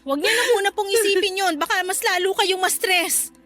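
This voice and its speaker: A woman's voice: extremely high pitch, fairly quick, fairly bright tone, soft background music. A woman playing a devoted, worried young grown-up child in a radio drama, earnest, respectful and emotional. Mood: pleading, tender. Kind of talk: Tagalog radio drama acting.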